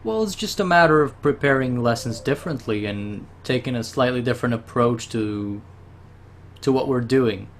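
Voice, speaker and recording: Israeli man, patient and measured. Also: medium pitch, animated, a little hiss or hum. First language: Hebrew. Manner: patient, measured, explains slowly with restarts. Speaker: Hebrew tutor. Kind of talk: casual orientation call.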